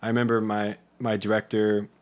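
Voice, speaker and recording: A man's voice: on the low side, completely flat delivery, brisk. A young man talking casually as an interview guest, with a joking, self-deprecating manner. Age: young adult. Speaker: interview guest, community theatre actor. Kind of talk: casual chat.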